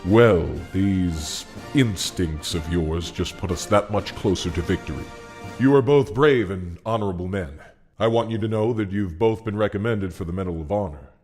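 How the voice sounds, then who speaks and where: A man playing a solemn, formal four-star general in an amateur audio drama, speaking deliberately. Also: low voice, a little mellow, faint music behind, close to an everyday voice. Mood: formal, approving.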